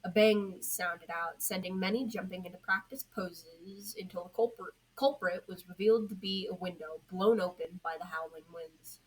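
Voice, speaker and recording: A woman's voice: fairly low pitch, steady tone, moderate pace, few pauses, slightly rough. A woman reading scripted fiction aloud as an amateur audio story narrator. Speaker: podfic narrator. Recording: noisy amateur home recording.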